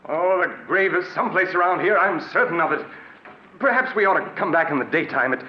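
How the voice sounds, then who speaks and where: Man acting a jittery high-voiced radio mystery accomplice. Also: brisk, close to an everyday voice. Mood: nervous, flustered. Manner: hesitant, stammering, insistent. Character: jittery, insinuating man leading accomplice to a woman's grave. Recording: muffled, hissy, echoey old radio recording.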